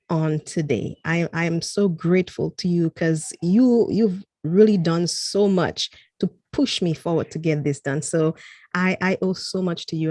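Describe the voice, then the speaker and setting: A woman's voice: fairly low pitch, mellow, no echo. A woman hosting her own podcast, who interviews her guest in an effusive, grateful way and often restarts her sentences. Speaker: podcast host.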